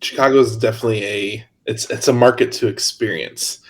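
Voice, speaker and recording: A man from Indiana hosting a podcast, chatty and relaxed, laughing often between remarks. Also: on the low side, brisk, a little hiss or hum. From Hammond, Indiana. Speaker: podcast host. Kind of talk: casual podcast chat.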